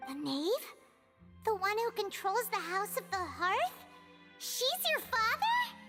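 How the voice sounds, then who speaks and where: A tiny floating fairy girl from an anime game, with a squeaky childlike voice. She is very high and swoops lively through her words, unhurried but excitable, with music underneath. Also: heavily acted. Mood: curious. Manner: exclamatory, inquisitive.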